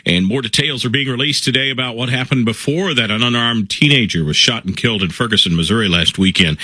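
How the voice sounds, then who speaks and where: A man reading radio news headlines as the station anchor, in a steady announcer delivery. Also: medium pitch, quick pace, no room sound.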